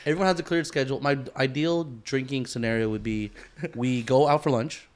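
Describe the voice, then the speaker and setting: A man's voice: mid-range voice, a little fast. A man co-hosting a podcast in casual, unscripted banter, talking in a loose bro-ish way with slang and swearing. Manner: bro-ish, peppers talk with "dude" and "bro". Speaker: podcast co-host.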